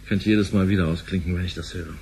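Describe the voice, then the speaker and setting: A man's voice: low voice, fairly even intonation, fast, a little mellow, slight background noise, lightly acted. A man playing a hesitant, aggrieved husband in a sci-fi radio drama, conversational and defensive.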